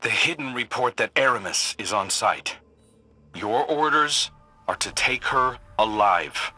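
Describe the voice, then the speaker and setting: An actor playing an earnest, duty-bound sci-fi commander, giving measured, serious mission briefings in a video game. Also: male voice, medium pitch, animated, sharp tone. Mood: focused, urgent.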